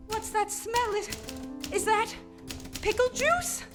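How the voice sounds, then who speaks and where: A woman's voice: very high-pitched, animated, slow pace, full character voice. A woman playing a scheming, scornful femme fatale in a parody of 1940s noir radio, with sardonic, melodramatic delivery. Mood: alarmed. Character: scheming, scornful female femme fatale, brilliant but conniving murderous widow. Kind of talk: parody 1940s noir radio acting, alternating narration and dialogue. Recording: echoey live stage, distant mic, music.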